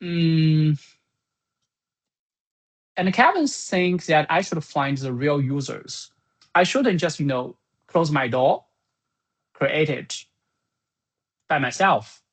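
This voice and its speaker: A slow-talking speaker with a husky, slightly rough voice at a medium pitch. The delivery is informal and halting, like someone thinking aloud while going through meeting notes on a project. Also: animated. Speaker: software creator presenting business plan.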